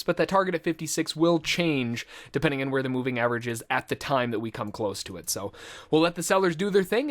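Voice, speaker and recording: A man's voice: mid-range voice, a bit bright. A man working as a trading instructor, giving informal, explanatory live commentary full of trading jargon in a conversational way.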